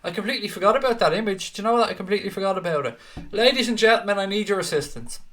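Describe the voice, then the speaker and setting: A man's voice: high voice, animated, very fast, a bit bright. A young Irish man hosting a casual live stream, chatting with viewers in an upbeat, talkative way with the odd swear. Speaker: host.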